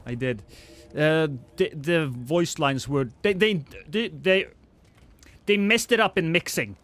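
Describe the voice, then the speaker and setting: A man's voice: a little high, slow, fairly bright tone. A Norwegian man with a slightly British-tinged accent, chatting casually while streaming a game and reading chat, often restarting sentences. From Norway. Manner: chatty, self-deprecating, many restarts. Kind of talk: casual gameplay livestream chat.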